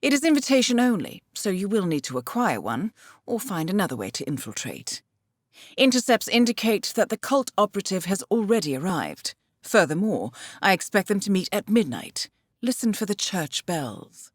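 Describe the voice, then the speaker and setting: Poised, expressive female intelligence handler. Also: mid-range voice, dry recording, studio quality. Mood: composed, businesslike. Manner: poised, measured, dryly wry. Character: composed, coolly professional female intelligence handler guiding an assassin. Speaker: mission handler. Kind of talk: scripted video game mission briefing.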